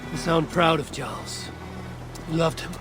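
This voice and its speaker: Man playing a blunt, higher-pitched Viking warrior. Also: steady tone, a little slow, over music, close to an everyday voice. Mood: probing. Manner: terse, stoic, matter-of-fact. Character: blunt, skeptical Viking warrior of a raven clan. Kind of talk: dramatic video game cutscene acting.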